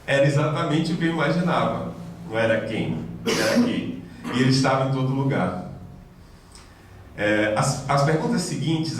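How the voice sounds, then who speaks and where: A man, giving a reflective personal testimony lecture at a spiritist center, with pauses and restarts. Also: medium pitch, distant mic, slight background noise. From Salvador, Bahia, Brazil. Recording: echoey hall, voice from afar.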